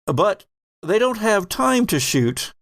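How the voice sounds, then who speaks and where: Creaky-voiced man running a horror game. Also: high voice, very animated, takes it slowly, a bit bright, no room sound, clean studio sound. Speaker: game master and host. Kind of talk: narrating a horror tabletop roleplaying game.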